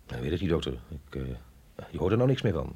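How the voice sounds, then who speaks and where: A man's voice: extremely low pitch, sing-song, fairly quick, dark tone, husky, full character voice. An actor playing a reluctant, doubting male agent in a radio drama, hesitant and questioning. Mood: puzzled, questioning. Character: reluctant, doubting male agent, younger than his superior.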